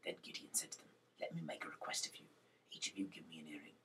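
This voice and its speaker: A man reading scripture aloud in an amateur way, with a breathy, gravelly whisper, nonstandard grammar and stumbles over names. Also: extremely low pitch, steady tone, quick pace, fairly bright tone, recorded from a distance. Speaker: reader of Bible chapters.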